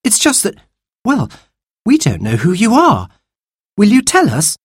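A narrator voicing an excitable, caring young girl in a children's story, speaking eagerly. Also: medium pitch, a little slow, husky, no echo, studio quality, voice pushed up, exaggerated voice. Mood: pleading, soothing.

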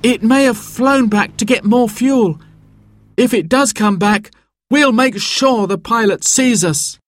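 Anxious, eager young boy in a storybook, played by a male narrator, raised pitch, close dry sound. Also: takes it slowly, no echo. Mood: reassuring. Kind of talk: children's story dialogue.

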